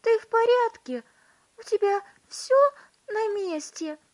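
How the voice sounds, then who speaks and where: Storybook mother: extremely high, swooping, wailing and anxious, drawn-out exclamations. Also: lively intonation, a little mellow, full character voice. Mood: frantic, anxious. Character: frantic, sobbing, anxious mother.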